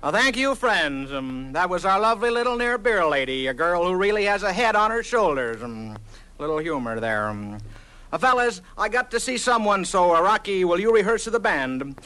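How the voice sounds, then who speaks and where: An actor playing a genial, fumbling polka bandleader in a comedy record parody, making halting, polite stage announcements. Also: male voice, high-pitched, big pitch swings, pushed and bright, exaggerated voice, low-quality recording. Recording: old comedy record, music behind.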